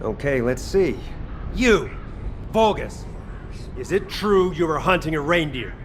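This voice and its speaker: A gruff, impatient soldier from a video game. His delivery is wry and sardonic, swinging widely in pitch, with clear ambient noise behind it. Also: lively intonation, measured pace. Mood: sardonic interrogation. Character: gruff, exasperated male soldier who cooks, wry and impatient.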